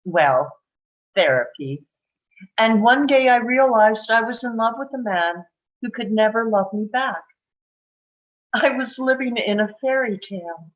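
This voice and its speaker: A woman acting a wistful, lovelorn small-town woman in a table read, with an earnest, halting, emotional delivery. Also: a little high, animated, phone-quality sound. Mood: wry, reflective.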